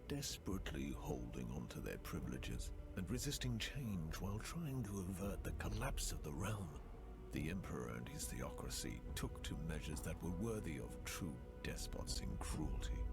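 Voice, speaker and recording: Very deep, gravelly fantasy narrator. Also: male voice, full character voice. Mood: grim, condemning. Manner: grave, theatrical, with hushed word endings. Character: world-weary, brooding male narrator of a ruined fantasy land. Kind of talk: scripted dramatic game intro narration. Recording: loud music under the voice.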